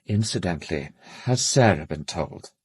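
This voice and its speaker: An actor playing a polite, defensive gentleman in a radio drama, guarded and formal in manner. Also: low-pitched, expressive, normal speed, husky, dry recording, exaggerated voice. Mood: polite concern. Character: smooth-mannered, defensive upper-class male family associate.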